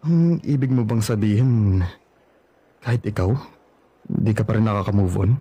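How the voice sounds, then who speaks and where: An actor playing a lonely young man who still loves his ex, wistful and gently probing, in a radio drama. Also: on the low side, expressive, moderate pace, husky, dry recording.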